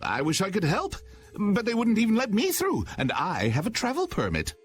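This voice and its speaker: A man's voice: sits high, wildly swooping pitch, moderate pace, soft background music. A man playing an obliging but put-out traveling merchant in a video game, earnestly explaining things. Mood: apologetic. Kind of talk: video game cutscene voice acting.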